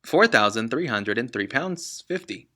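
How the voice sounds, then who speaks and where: Man as a clever little girl, storybook heroine, soft-spoken, gentle, polite, precise. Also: mid-range voice, lively intonation, unhurried. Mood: calm, gentle.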